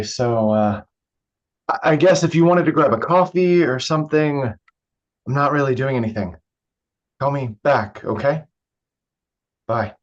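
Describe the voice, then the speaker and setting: A man's voice: medium pitch, close to an everyday voice. Man playing a nervous, muffled-sounding young man. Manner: stammering, defensive. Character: evasive young man hiding his drinking, nervous. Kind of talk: staged play reading.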